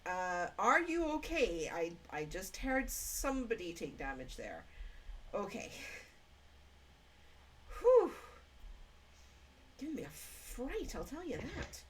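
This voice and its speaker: A woman streamer chatting in character during a roleplay game, thinking aloud with plenty of ums and pauses. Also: mid-range voice, huge pitch swings, slight background noise. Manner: hesitant, thinking aloud with many fillers. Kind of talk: in-character roleplay chat while gaming.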